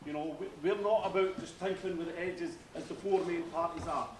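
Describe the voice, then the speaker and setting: A man giving a loud, forceful campaign speech as a candidate at a debate, repeating phrases for emphasis. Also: fairly high pitch, steady tone, fairly quick. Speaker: election candidate. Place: university debate hall. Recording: echoey hall, far from the mic, faint noise.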